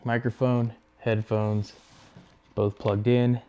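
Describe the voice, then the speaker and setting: A man with a soft-edged, mellow voice at a middling pitch walks through a hands-on gear install. He talks casually, as host of his own how-to video, taking each step without hurry while his hands are busy. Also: little pitch movement, slow pace, clean tone. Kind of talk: casual hands-on tutorial narration.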